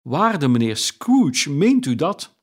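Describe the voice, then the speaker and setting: A man voicing a kindly old gentleman who exclaims breathlessly in surprise. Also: a little high, sing-song, slow, voice pushed up, heavily acted. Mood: astonished.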